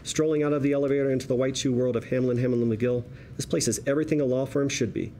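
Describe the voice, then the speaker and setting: A man with a dark, mellow voice of middling pitch narrates a script. He reads the stage directions at a table read, with faint noise behind him. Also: fairly even intonation, quick pace. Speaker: narrator reading screenplay directions.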